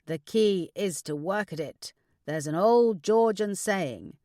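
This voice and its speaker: A woman voicing a kindly older father who gives measured, reassuring, philosophical advice in an audiobook. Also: medium pitch, animated, mellow, no room sound, studio quality. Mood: earnest, reassuring. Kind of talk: audiobook character dialogue.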